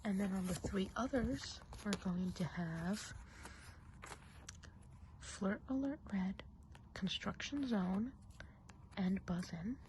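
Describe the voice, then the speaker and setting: Gossipy witch of the woods, played by a woman, ASMR roleplay. Very soft, warm, breathy whispering, gentle and chatty; slight background hiss. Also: mid-range voice, natural voice, rough recording. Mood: musing, chatty. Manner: chatty, gentle, unhurried musing. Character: friendly gossipy witch of the enchanted woods, planning a party.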